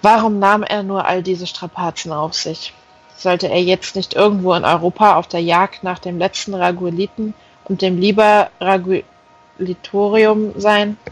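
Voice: mid-range voice, fairly even intonation, a little slow, husky, soft background music. A reader narrating a fantasy novel aloud as a scripted audiobook.

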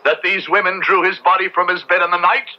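A man's voice: high-pitched, level tone, fast talker, pushed and bright. A man playing a stern, righteous Puritan minister in old radio drama style, pompous and sermonizing in formal archaic speech. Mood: indignant. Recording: muffled, noisy old radio drama recording.

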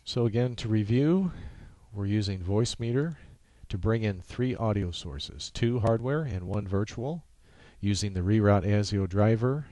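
A man with a very low, mellow voice presents an informal screencast tutorial. He explains each step in a halting, practical way. The sound is dry and close, with little room in it. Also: no room sound.

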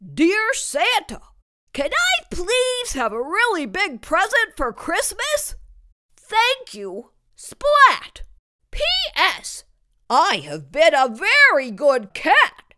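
A woman's voice: very high voice, wildly swooping pitch, slow, bright, forceful tone, voice pushed up, exaggerated voice. A woman voicing an eager, self-satisfied young male cat in a children's storybook, earnest and boastful. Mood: eager, proud.

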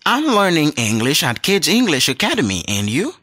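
Man reading lively textbook dialogues. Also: fairly high pitch, moderate pace, dry recording, clean studio sound. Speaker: textbook audio reader. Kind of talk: scripted dialogue reading for learners.

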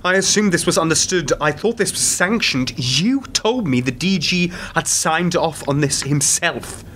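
Slow-spoken, guarded spy played by a man. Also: fairly high pitch, lively intonation, fairly bright tone, roomy sound, recorded from a distance, slight background noise. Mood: indignant. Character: weary, well-informed male ex-intelligence insider, uneasy and guarded. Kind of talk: radio drama acting.

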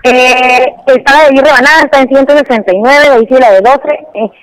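A woman's voice: sits high, a little fast. A woman working at a pizzeria, taking a customer's order over the phone. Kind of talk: customer service phone conversation. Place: on a phone call. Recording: phone line through a show's speakers.

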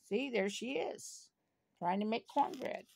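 An older woman reads a children's story aloud. Her voice sits a little high and has a gravelly creak in it. She goes slowly and deliberately, adding small asides for the listener. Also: darkish tone. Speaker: storybook reader.

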